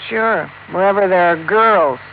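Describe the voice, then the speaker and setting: A woman's voice: medium pitch, measured pace, close to an everyday voice. Tinny vintage-radio dame, worldly and guarded, woman acting. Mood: offhand, jaded. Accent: American. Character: cagey, world-weary female nightclub singer. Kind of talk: 1950s radio drama acting. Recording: thin, phone-like old radio recording, faint noise.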